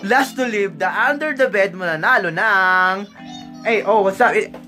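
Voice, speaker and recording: A man's voice: high voice, moderate pace. Filipino teen guy vlogging on his phone in a bedroom, hyped and teasing, music going in the background. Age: late teens. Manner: excitable, exclaiming, teasing. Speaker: YouTuber host. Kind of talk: playful vlog chat, mixing English and Tagalog. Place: small bedroom, indoors. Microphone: handheld phone camera at arm's length.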